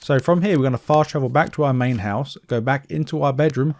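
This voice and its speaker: A man's voice: mid-range voice, a little fast, vocal fry, a little mellow. A man giving casual gameplay commentary, explaining things step by step in an informal way. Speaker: game walkthrough commentator.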